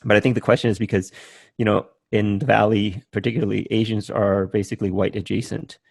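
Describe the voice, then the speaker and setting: Interview host, male, forties, from Vietnam. Low, gravelly, a bit husky, dry close sound, relaxed and musing. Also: quick pace, no echo. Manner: relaxed, thinking aloud with many "um" and "right?". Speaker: podcast host. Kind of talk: casual interview chat.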